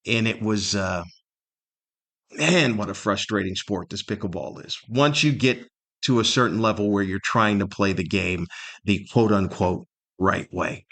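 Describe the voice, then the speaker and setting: A man's voice: fairly low pitch, unhurried, fairly bright tone. Middle-aged man, casual rambling podcaster. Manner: rambling, wry storytelling with self-deprecating humor. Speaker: podcast host. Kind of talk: casual solo podcast monologue.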